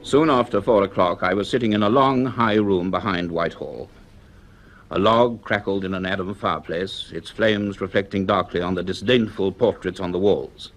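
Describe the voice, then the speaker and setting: A male actor with a British accent playing an earnest university scientist in a radio serial, speaking in a measured, precise and formal way. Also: low-pitched, lightly acted. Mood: reflective narration. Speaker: actor, lead and narrator.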